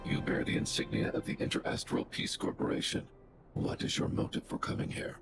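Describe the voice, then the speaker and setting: An actor playing a giant stoic robot guardian in a game, speaking formally and logically with a robotic filter. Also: male voice, extremely high pitch, brisk, gravelly, exaggerated voice. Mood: cold, analytical. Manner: formal, flat machine-like phrasing. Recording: music behind the voice.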